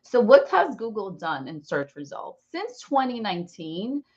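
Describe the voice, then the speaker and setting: A woman in her forties with an American accent, teaching an informal online class in a chatty, rambling, expressive way. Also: mid-range voice, a little slow, dull audio. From born in Brazil, raised in New Jersey, US. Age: 40s. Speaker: online course instructor.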